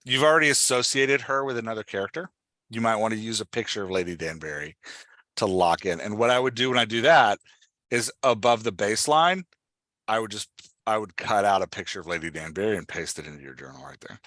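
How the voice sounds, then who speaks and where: A man's voice: fairly low pitch, expressive, brisk, bright, forceful tone, no room sound. A man coaching someone in a casual one-on-one talk, instructive and prodding with questions. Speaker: podcast host and dialogue writing coach.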